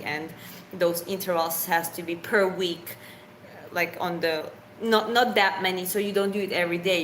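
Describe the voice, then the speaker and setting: A woman's voice: lowish voice, a bit bright, echoey room, a little hiss or hum, low-quality recording. Chatty woman co-hosting a live Q&A. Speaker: live stream co-host. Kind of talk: casual Q&A chat.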